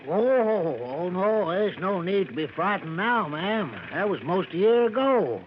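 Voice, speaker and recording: An actor playing a folksy backwoods American driver who gossips grimly and deferentially, in a drawn-out vintage radio drama style. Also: male voice, sits high, lively intonation. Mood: obliging. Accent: rural American. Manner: folksy, drawn-out storytelling with dialect grammar. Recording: muffled, noisy vintage radio broadcast.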